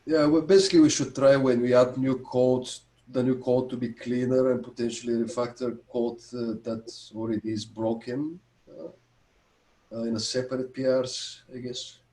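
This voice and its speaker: A man's voice: medium pitch, steady tone, normal speed, dark tone. A man on a video call giving his opinion in a casual meeting, with some fillers. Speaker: meeting participant. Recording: echoey room on a call.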